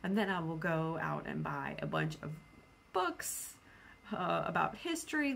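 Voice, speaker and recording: A woman's voice: lowish voice, fairly bright tone, slight background noise. A woman chatting casually and spontaneously as a book vlogger, rambling in a playful, self-deprecating way.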